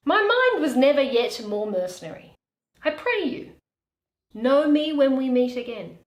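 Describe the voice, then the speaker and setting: A poised, clever lady in lawyer's disguise speaks measured Shakespearean verse as a woman reads her. Her voice is fairly high, in an echoey room. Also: big pitch swings. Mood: gracious. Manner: measured, formal, archaic verse delivery. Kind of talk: Shakespearean stage reading. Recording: roomy, echoey indoor recording.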